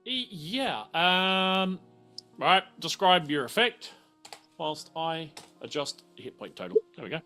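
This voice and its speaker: Bright, higher-voiced man running a game. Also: animated, slow, faint music behind. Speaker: game master. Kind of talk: casual tabletop game refereeing.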